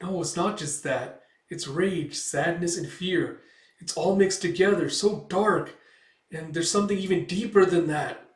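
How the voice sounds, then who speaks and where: A man's voice: on the high side, expressive, normal speed, pitched above the performer's own voice, lightly acted. A man voicing a chatty, tender-hearted little male elf who talks in an inquisitive, emotional, exclamatory way. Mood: pained, earnest. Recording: roomy, distant microphone.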